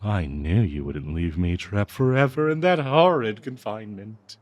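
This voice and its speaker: A man's voice: lowish voice, lively intonation, darkish tone, no echo, muffled recording, natural voice. A man playing a cursed talking dagger, possessive, lovestruck and bloodthirsty, in a melodramatic, cooing audio roleplay addressed to the listener. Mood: giddy, adoring. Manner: melodramatic, cooing, slow drawn-out delivery with manic giggles.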